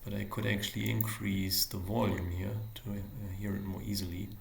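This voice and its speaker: A man giving a live technical demonstration as a university lecturer, explaining in a hesitant way with frequent pauses. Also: on the low side, level tone, vocal fry. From Germany. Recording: roomy echo, faint background noise.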